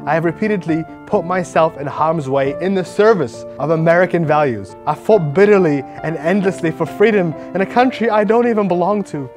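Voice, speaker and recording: A man's voice: sits high, lightly acted. A man playing an aggrieved, pompous internet provocateur in a mock dramatic reading of rants, over music. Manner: melodramatic, pompous. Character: aggrieved, self-pitying male internet provocateur ranting at fans. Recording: music behind the voice.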